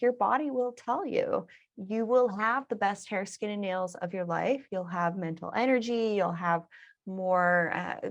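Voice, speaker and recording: Reflective woman giving gentle advice. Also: mid-range voice. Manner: reflective and advice-giving, with soft laughs between points. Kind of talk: casual conversational interview.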